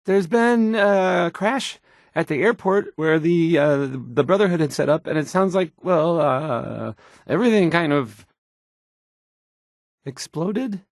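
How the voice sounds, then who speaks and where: A shy young game-world radio DJ with a high voice that swings up and down. He hesitates, stammers and apologizes, fumbling slowly toward the end of each sentence. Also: lively intonation, dry recording, clean studio sound. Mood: anxious, unsure. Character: painfully shy, nervous young male radio DJ. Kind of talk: video game radio broadcast acting.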